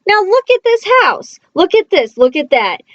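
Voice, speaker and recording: A woman's voice: very high voice, big pitch swings, normal speed, dry recording, lo-fi sound, voice pushed up. A woman voicing a cross, anxious male pet fish in a children's storybook, bossy and emphatic in protest. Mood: angry scolding. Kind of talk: children's storybook read-aloud.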